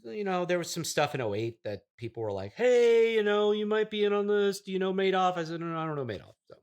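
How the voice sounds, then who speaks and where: A man's voice: high-pitched, expressive, fast, a little mellow, clean tone. A man playing an affluent, evasive, self-assured app developer in an improvised mock interview, rambling vaguely with breezy overconfidence. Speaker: comedic interview guest.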